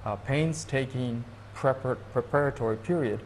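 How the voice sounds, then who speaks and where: A man from Hawaii, a guest in an interview, speaking thoughtfully and haltingly with many restarts. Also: mid-range voice, a little hiss or hum, low-quality recording. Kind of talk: reflective interview talk.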